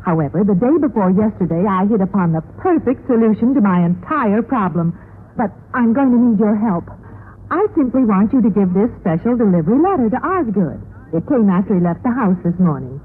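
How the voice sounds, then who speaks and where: Chatty scheming housewife, tinny radio. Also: female voice, mid-range voice, expressive, fairly quick, slightly rough. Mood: confiding, eager. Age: middle-aged. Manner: chatty, confiding. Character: earnest, scheming middle-aged housewife, principal's wife. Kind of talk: 1950s radio sitcom acting. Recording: tinny, noisy old-time radio recording.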